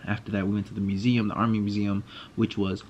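A man's voice: fairly low pitch, level tone, quick pace, a little mellow, muffled recording, slight background noise. A young man casually recapping his day for his vlog in a laid-back, rambling, slangy way, filmed on a close phone camera in a small closet. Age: young adult. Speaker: vlogger. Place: small walk-in closet indoors. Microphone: phone camera close to face.

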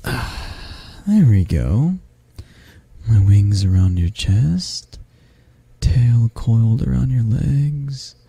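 A man acts out a tall, affectionate half-dragon guy in an ASMR roleplay. His low voice is mellow and husky, right up close and dry. He takes his time, teasing and flirting gently. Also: expressive, extremely slow, pauses often, dry recording, full character voice. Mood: playful, cuddly. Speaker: roleplay voice actor.

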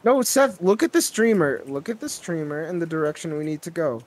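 A man's voice: on the high side, very animated, brisk. A man teasing his friends and laughing during an online game session. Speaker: gamer. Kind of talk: casual multiplayer game chat.

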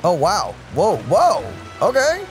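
Casting: male let's play host, casual and exclamatory, very lively intonation, faint game music behind. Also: high voice, slow pace. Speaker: video game streamer.

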